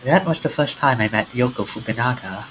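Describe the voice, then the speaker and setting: A man streaming a game, reading its story text aloud in an animated way. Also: mid-range voice, brisk, noisy background. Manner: animated, acts out the lines.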